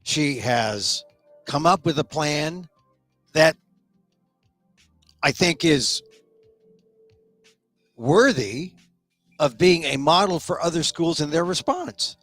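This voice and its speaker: A man's voice: a little high, animated, slow pace, pauses often, fairly bright tone, no echo, faint music behind. A middle-aged man hosting a solo political commentary show, opinionated and a little sniffly, often repeating words as he starts a thought. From United States. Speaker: talk show host.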